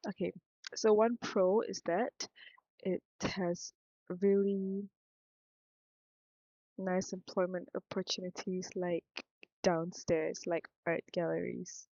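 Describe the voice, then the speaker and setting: Gravelly low-voiced young Singaporean woman. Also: little pitch movement, slow, long pauses, warm tone, dry recording, lo-fi sound. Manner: meandering, thinks aloud, self-interrupting, frank. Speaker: vlogger. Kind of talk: rambling casual vlog monologue.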